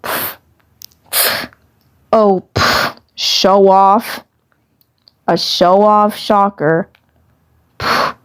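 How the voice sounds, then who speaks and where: A woman's voice: medium pitch, fairly even intonation, very slow, pauses often, clean tone. A woman voicing a scornful, sarcastic female cartoon alien who scoffs and mutters, in an amateur fandub.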